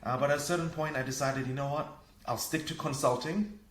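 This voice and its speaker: A man from Fiji, a parenting coach and podcast guest, talking in an energetic, upbeat way. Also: a little high, a little fast. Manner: energetic, upbeat, laughs easily. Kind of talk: casual conversation. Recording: echoey room.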